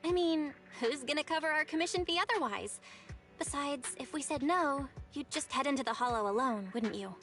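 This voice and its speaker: A woman's voice: high voice, moderate pace, a bit bright. An actress playing a friendly, perky young woman guide in a video game, teasing but earnest and caring. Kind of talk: scripted video game voice acting. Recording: game audio with music underneath. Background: background music.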